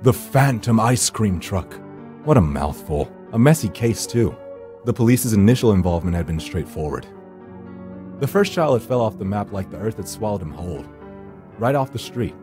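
A male actor plays a secretive hired finder from the edge of death. His voice is low and moves around a lot, dry and theatrical as he narrates a horror game. Faint music sits behind him. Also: warm tone, close to an everyday voice. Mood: wry, brooding. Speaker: narrator and lead character. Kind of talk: first-person horror visual novel narration. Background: background music.

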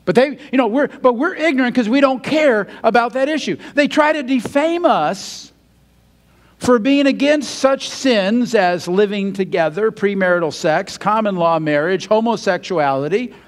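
An older man, around his sixties, preaches from the stage of a large church hall with a fairly high voice. He reads scripture aloud and builds to emphatic, indignant points as he paces. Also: normal speed. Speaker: pastor. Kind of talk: sermon.

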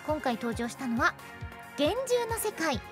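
A woman radio host with a high voice reads scripted news. Her intonation is lively and sweeping, with music playing behind her. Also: a little slow. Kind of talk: bright scripted announcements.

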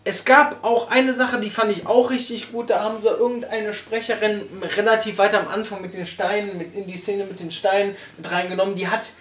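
A young man chatting casually about a review, colloquial and quick to agree. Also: high voice, fairly even intonation, a bit bright. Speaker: podcast reviewer. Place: small living room, on a sofa. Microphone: far camera. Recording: echoey room from far off.

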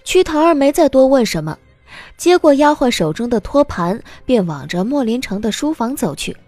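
Lively high-voiced woman narrator. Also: moderate pace, dry recording, soft background music. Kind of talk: expressive scripted audiobook narration.

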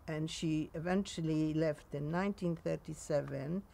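A woman in her nineties with a Polish accent, recounting memories in a halting way in a sunny garden. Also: deep voice, vocal fry, warm tone. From Poland. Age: 90s. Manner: halting, with frequent pauses and restarts. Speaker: interviewee.

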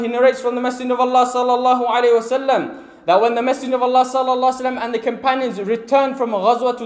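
High-voiced man preaching in an echoey hall. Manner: emphatic, drawn-out invocations. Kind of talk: Friday sermon storytelling. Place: mosque prayer hall. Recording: echoey hall with long reverb.

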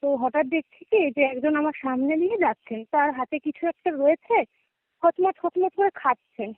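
A young woman speaks in a high voice with a West Bengal Bengali accent. She recounts her own experience in a casual, conversational way, often checking that the listener follows. It comes through a thin, tinny phone line with very little room sound. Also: animated, moderate pace, no echo. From West Bengal, India. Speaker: guest caller. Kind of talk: casual personal storytelling. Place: remote call, dry sound. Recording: narrow tinny phone-call audio.

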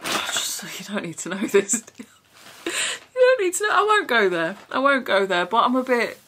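A woman's voice: fairly high pitch, sing-song, normal speed, background noise. A young woman in a small bedroom, chatting frankly and casually to camera about her haul, swearing now and then. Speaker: vlogger.

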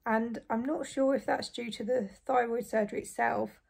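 A young woman in her twenties, hoarse and croaky after surgery, chatting casually to camera from a small bedroom. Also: a little high, steady tone, moderate pace. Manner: chatty, relieved, frequent light laughs. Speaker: vlogger. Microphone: phone or camera close in front.

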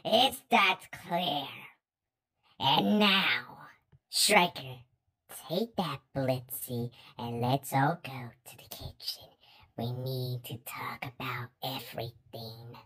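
A woman's voice: rumbling low, takes it slowly, a bit bright, slightly rough, full character voice. A woman playing a commanding, stern demon royal in a comic fan dub, with an imperious manner. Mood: hushed, stern.

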